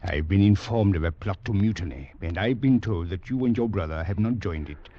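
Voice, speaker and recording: An actor playing a ruthless, contemptuous commandant in a 1940s radio drama, barking menacing orders. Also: male voice, low-pitched, fairly quick, mellow. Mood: cold menace. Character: ruthless French Foreign Legion commandant, brutal and contemptuous. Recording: dull, noisy 1940s radio recording.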